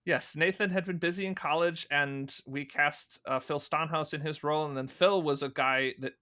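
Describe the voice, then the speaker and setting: A man's voice: fairly high pitch, fairly even intonation, moderate pace, pushed and bright. A man being interviewed as a guest, explaining at length in a conversational way and acting out remembered remarks as he goes. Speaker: guest, fan audio drama producer. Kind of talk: conversational interview answers, storytelling.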